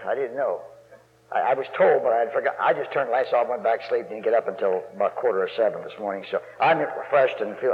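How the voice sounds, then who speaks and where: Gravelly male preacher, folksy and rambling, pushing to loud emphasis; muffled church recording with long echo. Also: slight background noise. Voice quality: gravelly bass voice. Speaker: guest preacher. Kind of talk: sermon. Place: church sanctuary.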